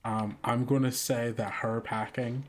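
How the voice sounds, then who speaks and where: A man narrates a tabletop role-playing game as its game master. He speaks very slowly in a mid-pitched voice, casual and measured, leaving pauses between phrases. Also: flat delivery, a little hiss or hum.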